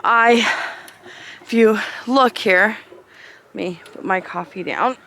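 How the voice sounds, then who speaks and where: A woman homesteader chatting casually in a vlog outdoors on a cold farm, rambling, her voice a little hoarse. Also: mid-range voice, slow, fairly bright tone, slight background noise. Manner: chatty, rambling, talks to animals. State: slightly hoarse.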